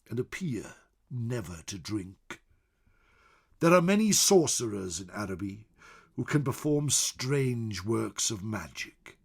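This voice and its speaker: A man narrating fantasy lore as a venerable old scholar, grave and measured, with dramatic emphasis and a slightly husky voice. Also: medium pitch, heavily acted. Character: venerable old scholar recounting wary, wondrous tales of distant lands. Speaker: storyteller.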